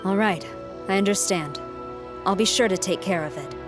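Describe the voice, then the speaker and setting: A woman's voice: medium pitch, moderate pace, music in the background, natural voice. A woman playing a stern, dutiful military commander in a video game, speaking formally and with reserve. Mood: formal, reserved.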